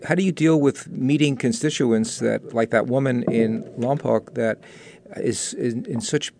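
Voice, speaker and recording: A man with a New York accent asks questions in a chatty, joking way, stumbling over restarts. His voice is gravelly and sits in a middle register. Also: medium pitch, measured pace, noisy background. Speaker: interviewer. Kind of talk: interview questions.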